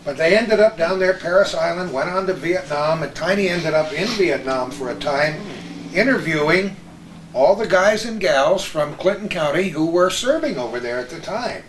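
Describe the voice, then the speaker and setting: An American man hosts a relaxed round of reminiscence in a conversational storytelling way. His voice sits a bit high for a man. The room rings noticeably around him, with some background noise underneath. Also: moderate pace, reverberant, far from the mic, poor audio. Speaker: host.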